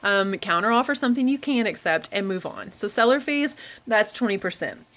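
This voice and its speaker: A woman's voice: mid-range voice, expressive, a little fast, fairly bright tone, slight background noise. A woman with a slightly nasal head cold, chatting casually as a reseller vlogger giving straight-to-the-point tips. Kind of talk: casual how-to vlog.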